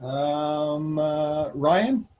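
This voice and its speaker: A man's voice: on the high side, drawn-out words, a little mellow. A man hosting an online game over a video call, calling on each player with brief, hesitant prompts. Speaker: game host.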